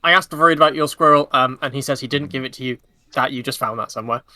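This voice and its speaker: A man's voice: medium pitch, fast, bright, forceful tone, no room sound. A man voicing a sly, condescending male cultist in a tabletop game, improvising with a grand air. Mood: bluffing. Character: sly, bluffing male cult follower with haughty air.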